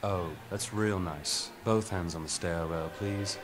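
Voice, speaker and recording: An actor playing a wry, unruffled agent and handler in a game cutscene, dry and teasing. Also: male voice, deep voice, fairly even intonation, measured pace, heavy background noise. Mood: dry, briefing. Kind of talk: video game cutscene acting.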